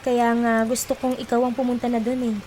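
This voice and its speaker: A woman's voice: a little high, level tone, brisk, mellow, slight background noise, natural voice. A woman playing a polite, coaxing pregnant supervisor in a radio drama.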